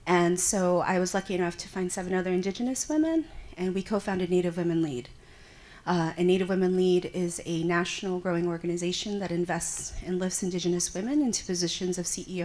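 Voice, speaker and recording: A woman who owns a consulting firm, introducing herself in a measured, composed way on a conference panel stage. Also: lowish voice. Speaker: company owner and panel speaker.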